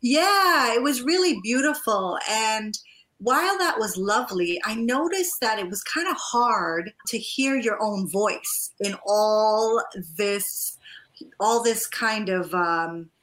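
A woman's voice: fairly high pitch, lively intonation, takes it slowly, a bit bright, rough recording. A woman from Trinidad who grew up partly in the US, talking as a coach and interview guest in an effusive, personal storytelling way. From born in Trinidad, moved to the US at about eight. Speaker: guest, life and divorce coach.

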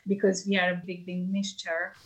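A woman with a Hungarian accent talks as a tour guide in an interview. Her voice sits a little low and stays flat in melody. She chats along easily, filling gaps with many hesitations. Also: normal speed, rough recording. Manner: many hesitation fillers, chatty. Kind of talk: interview answers, casual.

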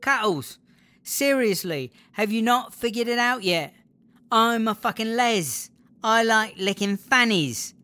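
A woman's voice: a little high, expressive, slow, bright, forceful tone, full character voice. A woman with a British accent playing a jealous, bitter, foul-mouthed girlfriend in a comedy audio drama, sarcastic and exasperated. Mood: indignant.

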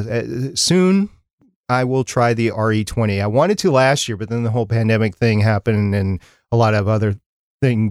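A man's voice: medium pitch, dry recording. Man explaining gear, dry close mic. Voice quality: bright. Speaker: podcast co-host. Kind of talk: casual chat. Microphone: studio dynamic mic.

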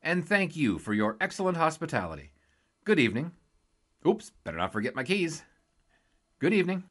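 An actor playing an eager, glib young sports reporter in a 1920s period audio drama, with a wisecracking, playfully formal manner. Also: male voice, fairly high pitch, sing-song, brisk, fairly bright tone.

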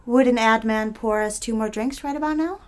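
A woman's voice: on the high side, fairly quick, natural voice. Woman acting a demure, nervous young office worker. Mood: playful, presentational. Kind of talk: period romantic audio drama acting.

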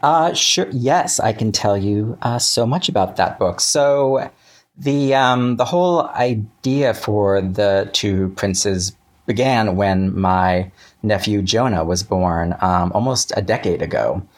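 A man speaking as an interview guest, telling things casually in a halting, self-correcting, chatty way. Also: lowish voice.

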